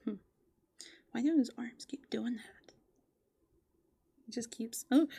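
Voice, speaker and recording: A woman chatting softly and casually in a whimsical, musing ASMR roleplay as a playful raccoon character, close to the microphone. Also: on the high side, slow pace, gravelly, slightly rough, no room sound.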